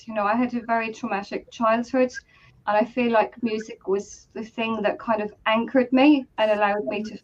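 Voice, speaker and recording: A woman's voice: medium pitch, lo-fi sound. An Irish woman, a guest medium, chatting in a rambling conversational way and laughing easily. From Ireland. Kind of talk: casual conversational storytelling.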